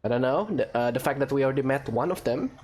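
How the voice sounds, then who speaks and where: A woman's voice: rumbling low, quick pace, darkish tone, a little hiss or hum. A woman giving casual let's play commentary as a gaming host, playful and wry.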